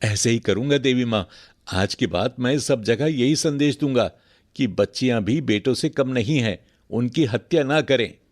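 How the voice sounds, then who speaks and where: A man's voice: medium pitch, moderate pace, lightly acted. A man playing a humbled, envious family man in a moral storybook tale, speaking earnestly and pleadingly. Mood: pleading, repentant. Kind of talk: scripted moral storybook acting.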